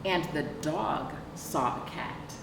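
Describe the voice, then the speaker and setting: A middle-aged woman reading a picture book aloud to young children as a librarian, explaining deliberately and asking rhetorical questions. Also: sits low, animated, creaky. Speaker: children's librarian hosting storytime. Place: indoor room with sofa. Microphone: camera a few feet away. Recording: echoey, noisy room, distant mic.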